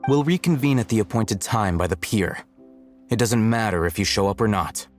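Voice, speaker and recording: A man playing an aloof, shrewd young scholar in a video game, speaking in a measured, dry, articulate and condescending way. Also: on the low side, lively intonation, natural voice. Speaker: game character voice. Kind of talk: scripted game cutscene dialogue. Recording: music behind the voice.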